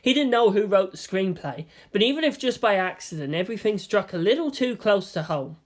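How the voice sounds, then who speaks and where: A woman narrates fan fiction in a dramatic storytelling style. Her pitch moves around a lot from phrase to phrase, sitting in a middle register overall. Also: expressive, a little fast. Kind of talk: dramatic scripted narration with character voices.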